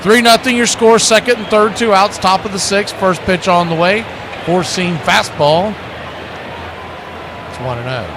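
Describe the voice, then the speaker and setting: A man's voice: high-pitched, a little slow, noisy background. A man doing live baseball play-by-play, mixing clipped calls with conversational analysis. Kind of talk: live baseball radio commentary.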